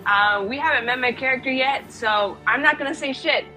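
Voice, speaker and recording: A woman's voice: high-pitched, brisk, music in the background, rough recording. A woman chatting casually as a player at a roleplaying table, offhand, blunt and self-deprecating. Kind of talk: casual chat at a game table.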